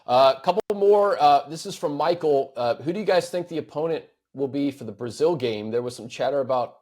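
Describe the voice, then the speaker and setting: A man's voice: fairly high pitch, brisk, darkish tone. An American man hosting a sports podcast in casual, conversational talk, often repeating words as he thinks aloud. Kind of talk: casual sports talk, fielding fan questions.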